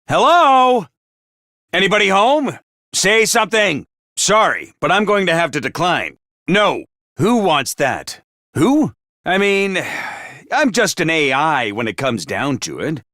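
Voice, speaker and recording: A man voicing a cold, scheming AI that mocks feelings as useless, with a flat, dismissive manner, recorded dry in a studio. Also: sits high, slow pace, no echo, heavily acted. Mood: cold mocking. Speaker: game voice actor. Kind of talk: video game voice lines.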